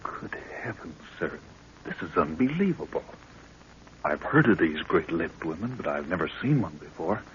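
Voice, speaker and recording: Deferential young adventurer, questioning tone, very low register, vintage 1930s radio acting with faint hiss. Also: male voice, sing-song, normal speed. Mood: amazed, uneasy. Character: earnest, deferential young male adventurer and sidekick. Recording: dull, hissy 1930s radio recording.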